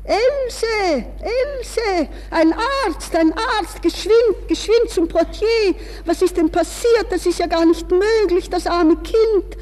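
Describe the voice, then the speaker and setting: Shrill, agitated middle-aged aunt. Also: female voice, slow, hardly any pauses. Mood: panicked. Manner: loud, agitated, exclaiming. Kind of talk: 1960s German radio play. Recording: reverberant 1960s radio recording.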